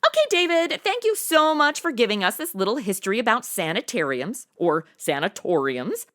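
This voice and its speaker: A woman's voice: a little high, big pitch swings, normal speed, fairly bright tone. An American woman hosting a podcast in a chatty interview style, with a sassy, slangy, playful way of talking. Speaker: podcast host. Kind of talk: chatty semi-scripted interview.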